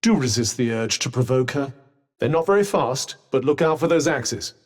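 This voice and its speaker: A man's voice: mid-range voice, lively intonation, normal speed, lightly acted. A man with a British accent voicing a haughty, sardonic magical cuff companion in a video game, posh and understated in his dry, condescending delivery. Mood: wry, alert. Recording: voice with long reverb.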